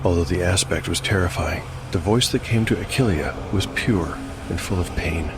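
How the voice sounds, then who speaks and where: Deep-voiced man narrating dark fantasy. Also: background noise. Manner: measured, dramatic, literary. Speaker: narrator and host. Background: music.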